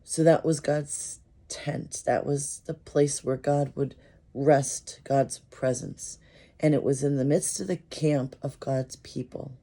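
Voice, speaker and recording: A pastor with an American accent, hoarse and losing their voice from a cold, reflecting on scripture in an unhurried, thoughtful way. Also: medium pitch, steady tone, mellow. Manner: slow, thoughtful, with fillers. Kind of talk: casual sermon reflection.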